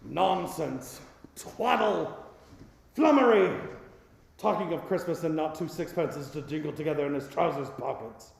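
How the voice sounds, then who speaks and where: Crabby old miser boss for a radio play, gravelly, swoops all over, echoey hall. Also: male voice, a little high, lively intonation, a little slow. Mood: scornful. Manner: scornful, barking, sarcastic. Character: miserly grumpy old businessman, scornful and bullying. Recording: very echoey, long hall reverb.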